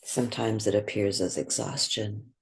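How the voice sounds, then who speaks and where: A man leading a guided meditation in a gentle, unhurried way, with long pauses between phrases. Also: lowish voice, level tone, gravelly, slightly rough.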